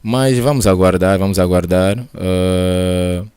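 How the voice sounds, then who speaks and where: A man giving football commentary in a hesitant, measured way with many fillers. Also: low-pitched, warm tone, clean tone. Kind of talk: sports commentary chat.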